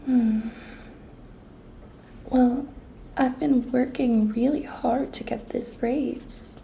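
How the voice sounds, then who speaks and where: A woman playing a cute, pushy young intern coaxing her boss in an intimate, breathy ASMR whisper, coy and teasing. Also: on the high side, steady tone, very slow, a little hiss or hum, heavily acted, low-quality recording. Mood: pouty, pleading. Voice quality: breathy whisper, soft-spoken. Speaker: ASMR roleplay performer.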